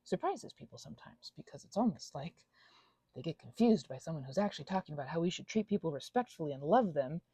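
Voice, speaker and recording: A woman voicing an anxious, self-deprecating little female goblin in an intimate ASMR monologue, rambling haltingly and interrupting herself with soft asides. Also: sits low, expressive, husky, no echo. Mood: earnest, hushed.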